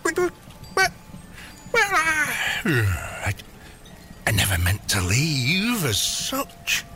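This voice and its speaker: A man's voice: a little high, wildly swooping pitch, drawn-out words, bright, forceful tone, faint music behind, full character voice. An actor playing a bumbling, evasive middle-aged father turned crime boss in an audio comedy, stammering and hesitating as he tries to placate. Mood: sheepish, apologetic. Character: bumbling, evasive long-absent dad turned gangster boss. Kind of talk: audio comedy drama acting.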